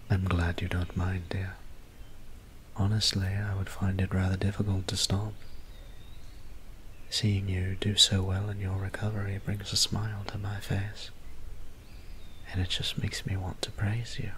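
Soft, low-voiced gentle vampire priest, acted by a man. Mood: tender, doting. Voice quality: soft, breathy, half-whispered. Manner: polite, formal, old-fashioned wording. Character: gentle, doting young male vampire priest, apologetic and courtly. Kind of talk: soft-spoken ASMR roleplay. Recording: steady background ambience noise.

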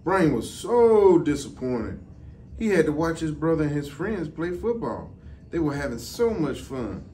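Male, middle-aged, slightly higher-pitched voice. Measured storybook read, a little off-mic. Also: very animated, dark tone. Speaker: deputy fire chief. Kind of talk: children's storybook reading. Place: indoor office, seated. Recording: distant mic, some background noise.

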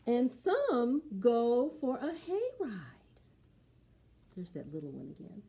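Woman, middle-aged, storytime reader, higher-pitched, unhurried, sing-song delivery for little kids. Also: creaky. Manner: expressive, slow, sing-song delivery for young children. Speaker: library storytime host for preschoolers. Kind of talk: children's storytime, singing and reading a picture book aloud.

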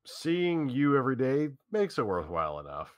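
A man's voice: mid-range voice, very animated, moderate pace, no echo, soft background music, lightly acted. A man playing an earnest, caring young male protagonist in a visual novel reading. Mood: earnest, reassuring.

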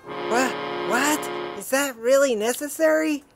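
A woman's voice: high voice, unhurried, gravelly, over music. A woman voicing an anxious, tender young woman from a comic book, with melodramatic delivery. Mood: worried.

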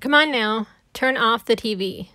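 A woman voicing a fussy, proper grown-up man, an easily flustered babysitter in a children's storybook, in a prim, drawn-out way. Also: a little high, pushed and bright, no echo, clean studio sound. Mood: coaxing. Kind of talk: children's storybook read-aloud.

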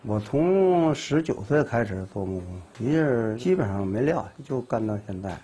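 A man's voice: medium pitch, moderate pace, a little hiss or hum. Plain-spoken man in his sixties. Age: 60s. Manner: plain-spoken, explains his craft with lively emphasis. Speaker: woodworker. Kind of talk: documentary interview. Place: cluttered indoor workshop. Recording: documentary music underneath.

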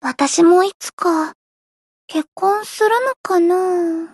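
A woman voicing a teenage gothic idol girl in a Japanese game, grandiose and sweetly shy, switching between pompous declarations and soft plain talk. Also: very high-pitched, slow pace, dry recording, studio quality, full character voice. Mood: flustered, shy.

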